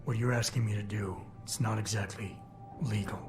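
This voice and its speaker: An actor playing a terse, hard-edged augmented security chief who speaks flatly in a game cutscene. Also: male voice, on the low side, moderate pace, over music, natural voice. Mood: curt. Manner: terse, flat, dry. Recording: game cutscene with loud score.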